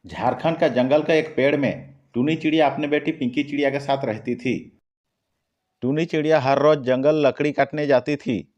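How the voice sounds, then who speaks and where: A man tells a children's cartoon story in a simple, steady narrator's voice at a middle pitch. Light music plays quietly behind him. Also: moderate pace, muffled recording.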